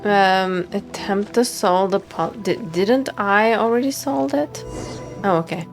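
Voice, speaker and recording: Female game streamer: mid-pitched, slow-paced casual reactions, murmured to viewers, music underneath.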